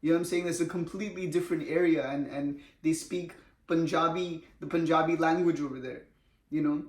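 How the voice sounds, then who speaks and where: A man's voice: on the high side, fairly even intonation, brisk. A young man from India, chatting casually in a storytime vlog and saying bro and man a lot. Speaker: vlogger. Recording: echoey room.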